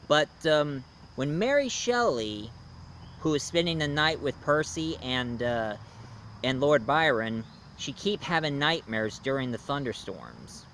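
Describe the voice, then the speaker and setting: A man's voice: medium pitch, big pitch swings, unhurried, a bit bright. Man reviewing casually, noisy outdoor recording. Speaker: host, film and book reviewer. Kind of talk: casual unscripted review commentary.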